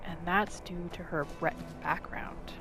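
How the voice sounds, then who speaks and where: A woman gently narrating a relaxing game roleplay in a soft, soothing manner. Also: fairly low pitch, steady tone, measured pace. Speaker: gameplay narrator. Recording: voice over loud game music.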